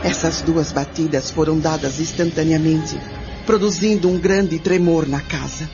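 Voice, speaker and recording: A woman playing a bold, curious nineteenth-century mother questioning a spirit in a radio drama, deliberate and formal. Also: deep voice, fairly even intonation. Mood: intrigued, resolute. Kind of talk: radio drama acting, first-person recounting. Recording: muffled radio drama with music behind.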